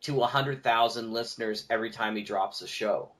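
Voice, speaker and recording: A man's voice: mid-range voice, a little fast, a bit bright. A man talking casually as a guest on a podcast, speaking emphatically and repeating key words for stress.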